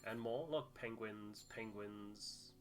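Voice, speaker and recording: A man's voice: fairly low pitch, slow pace, soft background music. A man giving casual commentary as he plays a video game, thinking aloud and muttering through his choices. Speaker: gamer playing and commenting on a playthrough.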